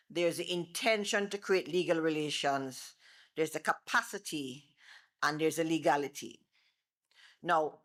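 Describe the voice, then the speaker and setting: A middle-aged Jamaican woman, an attorney, giving a loud, animated seminar presentation with worked examples to a live audience on a microphone. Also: lowish voice. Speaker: attorney and former bar president giving a seminar. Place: seminar room before an audience. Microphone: microphone she moves away from.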